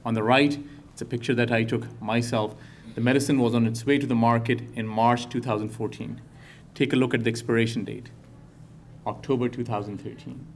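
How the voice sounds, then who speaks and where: A man from Pakistan, a professor, giving a public talk in a lecture hall with earnest, slow and emphatic phrasing. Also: medium pitch, fairly even intonation, a little hiss or hum. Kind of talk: public talk to a live audience.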